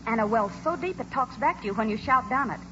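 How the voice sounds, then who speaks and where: A wholesome young farm woman acted by a woman with a high voice. She is earnest, gentle and trusting in old radio-drama style. The recording is muffled with faint noise. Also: lively intonation, fast talker, close to an everyday voice. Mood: earnest, nostalgic. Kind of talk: 1940s radio acting.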